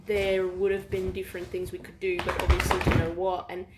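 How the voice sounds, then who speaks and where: A woman's voice: on the low side, monotone, a little fast. Afrikaans-accented woman chatting in an echoey room. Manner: rambling, restarts often, thinks aloud. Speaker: podcast host. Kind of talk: casual chat. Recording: echoey room with faint noise.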